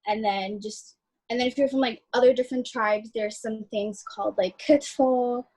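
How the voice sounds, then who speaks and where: A woman's voice: a little high, fairly even intonation, moderate pace, a little mellow, husky. A young woman from Ethiopia, chatting as a guest over a video call in a friendly, loose way with many fillers. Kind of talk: casual video call chat.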